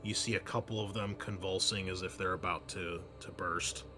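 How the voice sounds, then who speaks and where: A man running a tabletop roleplaying game as game master, narrating scenes haltingly with pauses. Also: low-pitched, fairly even intonation, music in the background.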